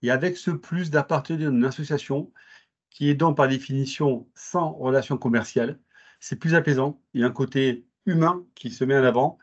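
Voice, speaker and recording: A man with a southern French accent, answering interview questions in a measured way, with frequent hesitations and restarts. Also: mid-range voice, breathy edge, muffled recording. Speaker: interviewed guest.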